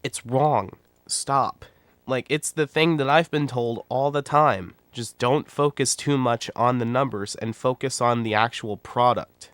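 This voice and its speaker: A man's voice: medium pitch, unhurried, fairly bright tone, dry recording. A man in his twenties with a nasal, stuffy voice from a cold, talking bluntly and rambling in casual livestream commentary. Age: 20s. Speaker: YouTuber and streamer.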